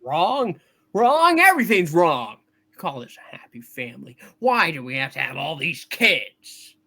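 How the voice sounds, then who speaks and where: A frustrated, weary family dad in a comic radio play, put on by a man. He has a bright, forceful voice with lively pitch and an exasperated, hammy delivery. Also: unhurried, vocal fry, heavily acted. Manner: hammed-up, exasperated, stumbling reading. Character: frustrated family father, sharp-tempered, weary, loving. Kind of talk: live comedic radio play reading.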